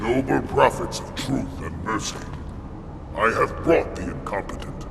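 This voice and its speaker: A man's voice: fairly low pitch, animated, measured pace, vocal fry. An actor playing a hulking, brutal male alien brute chieftain, growling and scornful. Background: music.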